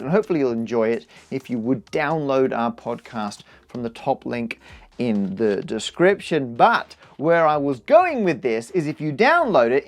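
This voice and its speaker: Male maths host, fairly high voice, enthusiastic explainer, emphatic delivery, wry asides.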